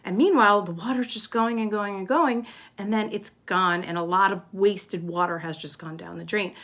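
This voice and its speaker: A woman's voice: medium pitch, moderate pace, fairly bright tone. A woman with a mid-range voice teaches very young children. She explains things in simple steps, puts questions to her listeners and presents a picture book in a read-aloud style. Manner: explains simply, asks rhetorical questions, checks understanding. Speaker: kindergarten teacher.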